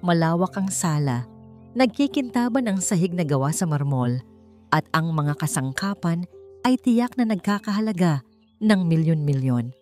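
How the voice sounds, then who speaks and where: A woman's voice: fairly low pitch, a little mellow. A woman narrating a scripted romantic drama as an audiobook storyteller, in a dramatic reading style. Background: music.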